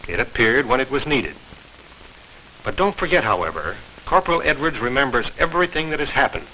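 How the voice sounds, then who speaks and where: A man's voice: mid-range voice, big pitch swings, a little fast, bright, forceful tone. A man narrating a 1940s training film as a medical officer, explaining in a didactic, scripted way. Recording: noisy, crackly 1940s film soundtrack.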